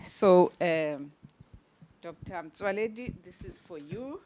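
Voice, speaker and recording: A woman's voice: on the low side, expressive, slow, darkish tone. A woman with a South African accent giving an emphatic, encouraging, unscripted thank-you speech at a ceremony. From Eastern Cape, South Africa. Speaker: presenter giving thanks and honours. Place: live event venue. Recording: clearly noisy live event recording.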